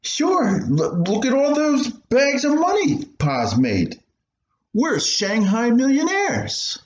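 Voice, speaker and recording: A man's voice: extremely high pitch, huge pitch swings, slow, roomy sound, muffled recording, pitched above the performer's own voice, exaggerated voice. A man voicing a timid, stammering young schoolboy in a radio play. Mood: hesitant, hopeful. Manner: nervous, stammering. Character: timid young schoolboy answering his stern mother.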